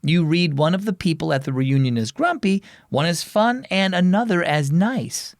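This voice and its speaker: Patient storybook mother , performed by a man, gently instructive, varied melody, dry recording. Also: fairly high pitch, expressive, normal speed, vocal fry, no room sound. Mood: encouraging.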